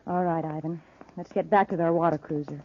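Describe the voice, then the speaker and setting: An actress playing a cold, commanding, scornful criminal boss in a 1950s radio serial. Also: female voice, low voice, fairly even intonation, fairly quick, slight background noise, lightly acted. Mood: wary, commanding. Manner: commanding, crisp orders, scornful. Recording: muffled old 1950s radio recording.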